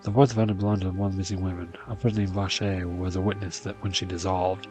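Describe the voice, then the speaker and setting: A man with a fairly low voice plays a game and talks quickly. He reads dialogue and reacts casually, with game music behind him. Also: flat delivery, darkish tone. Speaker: gaming video player.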